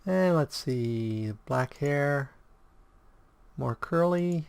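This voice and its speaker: A man with a sore throat and strained voice hosting a casual game walkthrough, explaining choices in a halting way and correcting himself. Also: on the high side, lively intonation, creaky, darkish tone, clear, resonant tone, dry recording. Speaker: video game guide host.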